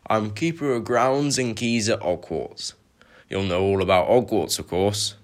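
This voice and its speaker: A man voicing a huge, gruff but friendly giant groundskeeper with a loud, rustic manner of speech. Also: measured pace, lightly acted. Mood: hearty. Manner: loud, rustic dialect, rumbling growls when angry.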